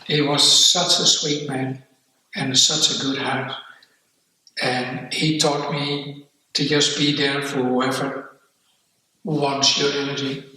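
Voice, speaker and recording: An older man from the Netherlands with a Dutch accent, slowly telling his life story in an interview, reflective and halting. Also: mid-range voice, flat delivery, sharp tone. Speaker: guest. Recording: echoey room, far from mic.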